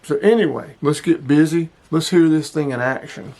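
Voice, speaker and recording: A man's voice: on the high side, normal speed, dark tone. A middle-aged man with a folksy, plain-spoken manner, hosting a casual hobby review vlog. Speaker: hobbyist video host.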